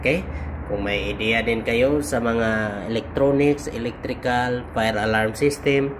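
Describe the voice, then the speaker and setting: A Filipino man giving an informal instructional walkthrough, speaking haltingly in short phrases with pauses. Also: mid-range voice. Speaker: host of an electronics how-to video. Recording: clear background noise, vehicle-like hum.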